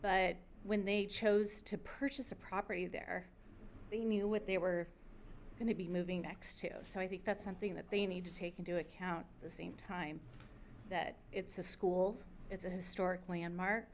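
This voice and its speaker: A woman's voice: on the low side, steady tone, normal speed, slight background noise. A woman, a parent and local realtor, giving a brief, matter-of-fact public comment at a city planning meeting. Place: council chamber podium.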